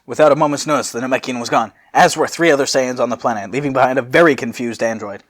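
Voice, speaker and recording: A young man narrating fan fiction as host, casual and sweary with animated asides. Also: medium pitch, fast talker.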